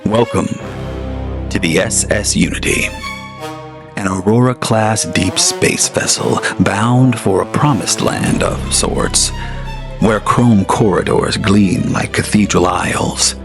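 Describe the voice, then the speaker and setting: A man's voice: on the low side, gravelly. A man narrating an audio drama as its host, in a theatrical manner and speaking straight to the listener. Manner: theatrical, addresses the listener directly.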